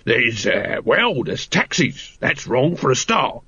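A man's voice: medium pitch, very animated, slow, bright, forceful tone, slightly rough, highs cut off, exaggerated voice. A man voicing a wheedling, whiny male street sausage seller in a storybook reading, with sing-song sales patter. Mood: hawking.

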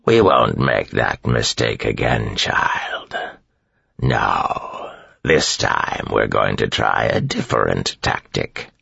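A man voicing a cruel, gloating male priest who speaks slowly in a low, hushed, menacing way. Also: expressive, creaky, husky, dry recording, dull audio, full character voice.

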